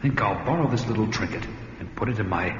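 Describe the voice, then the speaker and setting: An actor playing a bold, wry barbarian warrior in a fantasy radio drama, speaking in archaic, dramatic phrases. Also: male voice, on the low side, expressive, fast, natural voice. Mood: wry, daring. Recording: dull radio recording, heavy cavern echo, music.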